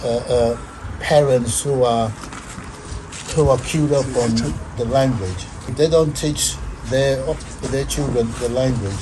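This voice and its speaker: An older man from Nigeria, talking slowly and deliberately as an interview guest in a studio. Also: mid-range voice, background noise. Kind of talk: conversational interview.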